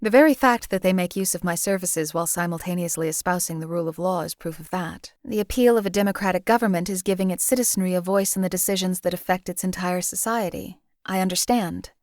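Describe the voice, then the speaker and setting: Woman playing a deadpan female assassin, game companion, low voice, dry close sound, formal and measured. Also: no echo, lightly acted. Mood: cold, measured. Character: cold, detached female hired assassin.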